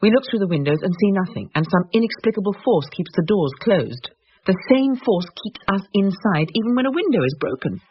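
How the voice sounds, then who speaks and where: Actress as analytical British wife, radio thriller: medium pitch, animated intonation, articulate, muffled sound. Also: female voice, a little fast, close to an everyday voice. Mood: analytical, uneasy. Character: coolly rational wife reasoning through supernatural events. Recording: dull noisy radio drama recording.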